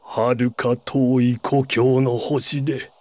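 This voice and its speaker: An actor playing an ancient, wise alien elder in an anime video game, solemn, weary and gentle. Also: male voice, mid-range voice, little pitch movement, extremely slow, no echo. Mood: grave.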